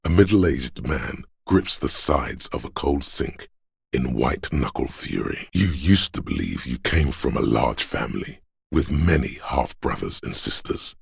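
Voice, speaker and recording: Extremely deep, gravelly male narrator. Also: expressive, dry recording, studio quality. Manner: measured, grave, literary delivery. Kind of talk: dramatic scripted game narration.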